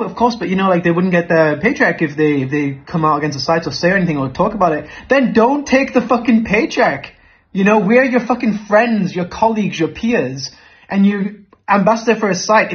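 Indignant higher-pitched man, dull lo-fi clip. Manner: indignant, repetitive, sweary. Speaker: professional poker player. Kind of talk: informal monologue to camera. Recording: dull lo-fi played-back clip.

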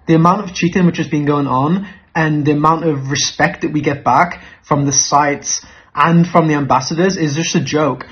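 A man's voice: on the high side, fairly even intonation, normal speed, fairly bright tone. A man delivering an indignant, informal monologue with repetition and swearing, heard as a dull played-back clip. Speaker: professional poker player.

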